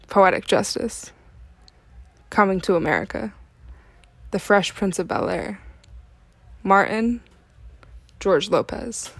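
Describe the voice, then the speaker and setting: A woman delivers scripted documentary narration as the narrator of an educational film. Her voice sits at a medium pitch. The sound is dry, with little trace of a room. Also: a little mellow, no echo.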